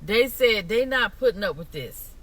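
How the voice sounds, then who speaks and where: A woman's voice: medium pitch, lively intonation, moderate pace, a bit bright. An American woman giving casual commentary in a sassy, emphatic way, repeating her points, on a slightly noisy recording. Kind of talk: casual social media commentary.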